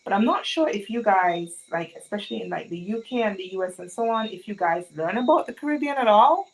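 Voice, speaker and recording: A woman's voice: mid-range voice, lively intonation, a little fast, rough recording. A young woman from Montserrat with a Montserratian accent, chatting with viewers and asking them questions during a sewing livestream. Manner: chatty, asks the chat many questions. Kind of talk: live-stream chat with viewers.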